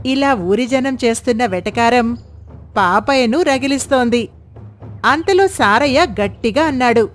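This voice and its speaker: High-pitched woman narrating a storybook. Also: lively intonation, measured pace, faint music behind. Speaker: narrator of moral stories.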